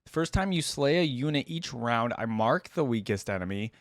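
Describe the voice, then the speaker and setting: A man's voice: mid-range voice, expressive, normal speed, dry recording. Dry-sounding man giving casual reactions. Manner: tentative, thinking aloud, conversational. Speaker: reaction video host.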